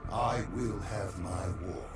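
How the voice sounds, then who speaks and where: An actor playing a malevolent undead overlord in a video game, grandiose and menacing, with an echoing, breathy voice. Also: male voice, low voice. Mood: ominous, commanding. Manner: grandiose, slow, ominous. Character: malevolent male undead overlord bent on ending mankind. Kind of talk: dramatic game narration. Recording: roomy echo, distant, loud music behind.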